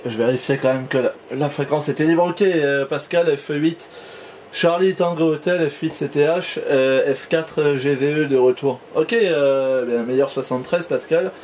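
A man's voice: fairly high pitch, measured pace, darkish tone, a little hiss or hum. A man chatting casually as an amateur radio operator, hesitantly, often repeating and trailing off in his phrases. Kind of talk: casual radio contact chat.

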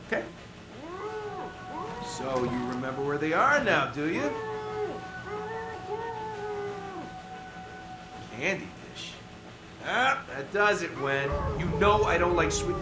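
Crazy chatty rogue agent for a game, highish voice swinging all over, jokey threats. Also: male voice, roomy sound, low-quality recording. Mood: cheerfully menacing, then manic, breezy. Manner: rambling, flippant, jokey menace. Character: manic, chatty, unhinged male rogue CIA operative. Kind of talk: video game cutscene acting.

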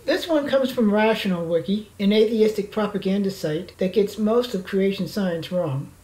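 A woman narrating a scripted slide lecture in a formal, measured reading manner. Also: mid-range voice, dark tone, poor audio.

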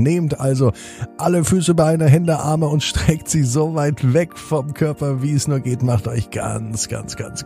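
A man hosting and narrating a children's bedtime story in an expressive manner, speaking directly to young listeners. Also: medium pitch, vocal fry. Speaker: podcast host and storyteller.

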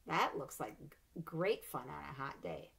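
Middle-aged woman, lowish voice, lively storybook reader with playful asides, home webcam. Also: unhurried, vocal fry, slightly rough. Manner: expressive, animated read-aloud with playful asides. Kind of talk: reading a children's picture book aloud. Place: home room with bookshelves. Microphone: webcam at desk.

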